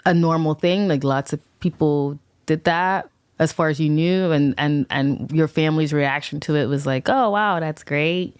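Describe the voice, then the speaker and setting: A woman's voice: deep voice, normal speed, clean tone. A woman hosting a podcast, introducing her guest and asking curious questions. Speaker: podcast host.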